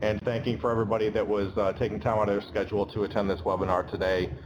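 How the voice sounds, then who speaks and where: A man with a somewhat low voice, a little hoarse as he gets over an illness. He answers questions in a relaxed, um-heavy way. His webinar audio carries a steady layer of clear background noise. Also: very fast, highs cut off. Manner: many ums, loose and conversational. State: getting over an illness, slightly losing his voice. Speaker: advocacy program staff.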